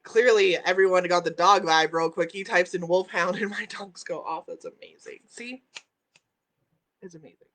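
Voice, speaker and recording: A woman's voice: fairly low pitch, normal speed, a bit bright. Woman, host, spiritual teacher, emphatic, repetitive, checks in with "right?", at home. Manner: emphatic, repeats key phrases, tags lines with "right?"; reads out and answers viewer comments. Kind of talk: spiritual teaching on a live stream. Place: at home by a window, with dogs in the house.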